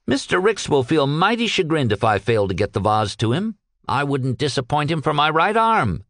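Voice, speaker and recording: Storybook errand man, one-armed and dogged; earnest, insistent, animated intonation, dry sound male narrator acting. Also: on the high side, normal speed, no room sound, voice pushed up. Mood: earnest pleading. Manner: pleading, polite, insistent. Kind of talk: audiobook character dialogue.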